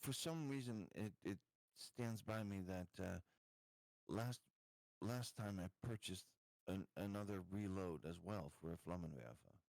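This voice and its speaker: A man chatting casually as a player in an online tabletop game, with hesitant, halting phrasing. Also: deep voice, gravelly, a little mellow, dry recording, low-quality recording.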